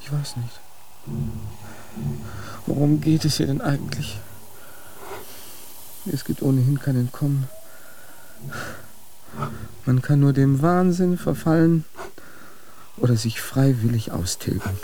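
An actor playing a tormented young friar in a radio drama, intense and anguished. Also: male voice, medium pitch, slow, long pauses, mellow, a little hiss or hum, poor audio. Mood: despairing.

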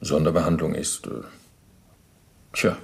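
A former Nazi functionary under interrogation in a radio play. His voice is low and creaky. He is pedantic and deferential, forever justifying himself in formal official phrasing. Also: little pitch movement, measured pace, heavily acted. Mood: hedging, detached. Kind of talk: German radio docudrama acting, interrogation dialogue.

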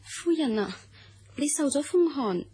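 A woman acts out a young maidservant for radio drama. Her voice is high, with an anxious, fussing manner and the deferential pleading of someone devoted to her mistress. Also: lively intonation, measured pace, warm tone, rough recording. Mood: pleading, earnest. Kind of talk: Cantonese radio drama acting.

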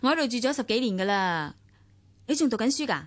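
An actress in a Cantonese radio drama playing a friendly, lively woman neighbour, with a Hong Kong accent and a light, welcoming manner. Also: fairly high pitch, fast talker, background noise.

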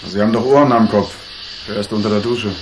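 A man's voice: on the low side, steady tone, fast, background noise, close to an everyday voice. A male actor playing a grumbling, sensible old attendant in a radio crime drama, exasperated in manner. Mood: exasperated, wary. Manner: grumpy, exasperated.

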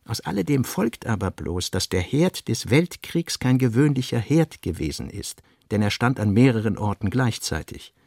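A man reading essayistic literary prose for radio with a measured, deliberate delivery. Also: medium pitch, sing-song, vocal fry, darkish tone, no echo, studio quality. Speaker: narrator.

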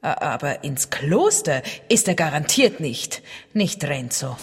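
A woman's voice: low voice, sing-song, a little slow, music in the background, heavily acted. An actress playing a haughty, possessive girlfriend who is indignant and dismissive, in a radio play. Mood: sure, dismissive. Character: haughty, possessive girlfriend, sure of her lover. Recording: reverberant stairwell scene.

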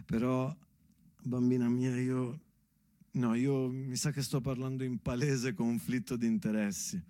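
A man's voice: mid-range voice, flat delivery, a little slow, warm tone, no room sound. A man delivering a storytelling theatre monologue as if to his daughter, with conversational asides and dramatic emphasis. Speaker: stage actor and monologuist.

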